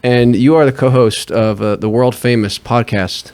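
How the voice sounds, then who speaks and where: Casual male podcast host, mid pitch. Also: moderate pace. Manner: relaxed, uses fillers and restarts. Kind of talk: casual talk show hosting.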